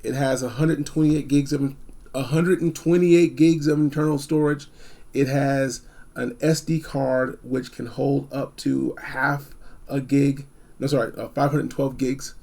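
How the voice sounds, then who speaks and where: A man hosting a casual, unscripted gadget review, talking in an animated, emphatic way and repeating phrases for effect. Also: medium pitch, gravelly. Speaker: product reviewer hosting a video.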